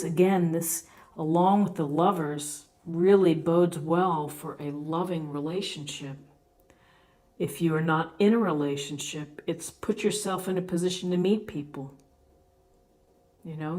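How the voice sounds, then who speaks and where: A woman with a low voice hosts a tarot reading at a slow, unhurried pace. She explains the cards off the cuff, leaving deliberate pauses between phrases and offering gentle encouragement. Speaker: tarot reader, host.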